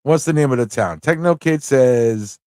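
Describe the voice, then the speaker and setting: A man's voice: medium pitch, expressive, normal speed, mellow, smooth, no echo, studio quality. A man from the United States hosting a live trivia quiz, chatting casually with viewers and repeating questions as he reads them out. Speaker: livestream host running a trivia quiz.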